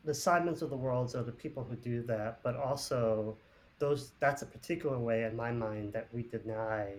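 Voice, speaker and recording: A man speaking earnestly and thoughtfully in a faith discussion, with many filler pauses. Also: mid-range voice. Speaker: discussion panelist. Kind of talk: reflective spiritual discussion.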